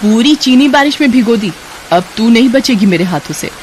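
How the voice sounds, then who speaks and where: A woman voicing a cruel, scolding aunt who orders a child around in a sharp, commanding way, in a storybook tale. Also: on the high side, lively intonation, fairly quick, slight background noise, pitched above the performer's own voice, close to an everyday voice. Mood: angry, scolding.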